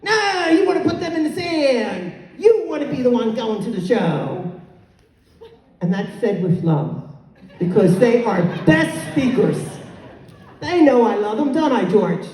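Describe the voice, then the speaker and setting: A middle-aged woman gives a spirited motivational talk into a handheld mic, loud and animated, sometimes yelling. Her voice is mellow in tone. It is captured from across a large hotel conference hall, with a long, roomy echo. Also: a little high, measured pace. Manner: loud, animated, moves the mic away to yell. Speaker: conference speaker. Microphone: handheld mic, filmed from far off. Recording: echoey hall, recorded from far off.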